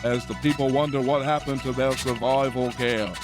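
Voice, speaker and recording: A medium-pitched, solemn newscaster in a satirical audio drama, acted by a man. The delivery is measured and declamatory with mock seriousness, over clearly audible music. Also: fairly even intonation, lightly acted. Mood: grave. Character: solemn male newscaster reporting for the establishment. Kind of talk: parody news reading in audio play.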